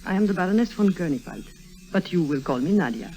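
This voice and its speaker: Imperious rich baroness, cool and formal, old radio show with faint noise. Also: female voice, fairly low pitch, expressive, quick pace, mellow. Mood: commanding. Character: imperious, alluring European baroness, wealthy yacht owner. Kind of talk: old-time radio drama acting. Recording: noisy vintage radio recording.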